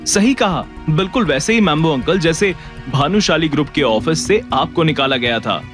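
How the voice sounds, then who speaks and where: A defiant young husband with a fairly high male voice. He is proud, hot-tempered and biting, in an audio drama with faint music. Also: expressive, normal speed, a bit bright. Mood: cutting. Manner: assertive, biting. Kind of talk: Hindi audio drama acting.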